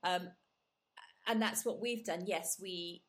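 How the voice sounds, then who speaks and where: A woman's voice: fairly low pitch, slow. Reflective woman podcast host. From England. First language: Gujarati. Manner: warm, reflective, many fillers and restarts. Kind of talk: casual conversational interview.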